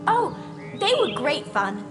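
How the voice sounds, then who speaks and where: A woman's voice: high voice, sing-song, slow pace, music in the background, full character voice. An actress voicing an eager, studious schoolgirl witch in a video game, keen, polite and precise. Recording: long hall reverb.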